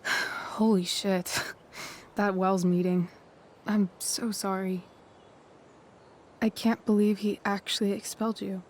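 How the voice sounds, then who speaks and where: An actress playing a confident, affectionate teenage girl with a teasing, expressive manner in a naturalistic video game drama. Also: medium pitch, slow pace, pauses often. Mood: sympathetic.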